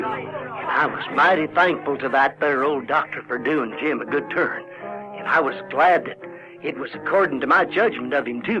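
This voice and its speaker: A man's voice: on the high side, close to an everyday voice. A man playing a folksy rural Southern boy who tells his adventures in an audio drama. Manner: folksy, colloquial. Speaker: narrator in character. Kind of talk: in-character storytelling, audio theater. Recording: dull 1970s recording with music.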